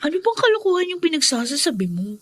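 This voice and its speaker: Woman doing a soft-spoken peacemaker daughter in a radio drama, a bit high. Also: very animated, fast, no room sound, studio quality. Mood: soothing. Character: young adult daughter, gentle peacemaker. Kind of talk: Filipino radio drama acting.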